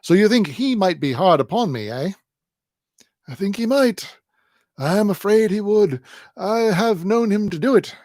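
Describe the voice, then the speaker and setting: A male reader voicing a spiteful, fawning miserly old man, shrill, cracked and out of breath. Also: animated, unhurried, darkish tone, no room sound, studio quality. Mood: wheedling.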